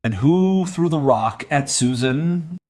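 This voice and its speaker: A man's voice: fairly high pitch, animated, takes it slowly, a little mellow. A man playing a stern, sceptical police investigator with a cynical edge in a radio crime play. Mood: sceptical, pressing.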